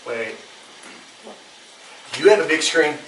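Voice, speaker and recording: A man's voice: fairly high pitch, lively intonation, measured pace, a bit bright. An actor at a live table read, playing a brash but remorseful young man who talks casually and slangily. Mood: tentative, hopeful. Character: brash young male slacker, remorseful self-confessed jerk. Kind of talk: live staged script reading. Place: live staged event in a room. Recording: roomy, distant microphone, faint noise.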